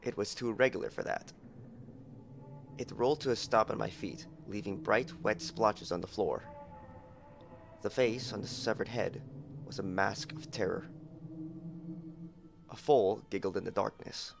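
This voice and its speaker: A man's voice: on the low side, a little slow, vocal fry, pauses often, over music. A young man reading a story as dramatic scripted narration, recorded on an amateur headset mic with some noise. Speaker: audiobook narrator.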